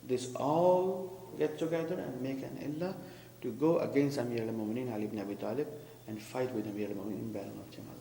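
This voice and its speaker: A man's voice: medium pitch, fast, gravelly, dark tone. A man giving a sermon and commentary who explains terms and questions the congregation. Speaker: preacher. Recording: echoey hall with faint hum.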